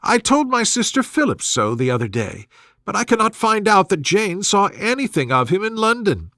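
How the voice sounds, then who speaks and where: Man putting on a high, fretful middle-aged mother. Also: very animated, moderate pace, pushed and bright, no room sound, clean studio sound, heavily acted. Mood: fretful, nosy. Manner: exclamatory, complaining. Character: fretful, resentful middle-aged mother, gossipy and self-pitying.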